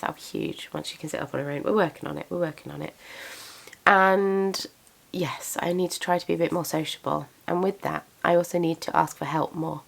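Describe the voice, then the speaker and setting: A woman's voice: fairly low pitch, vocal fry. A young woman chatting casually to camera about her craft business and plans, self-deprecating and a little congested from a cold. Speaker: craft business owner and vlogger.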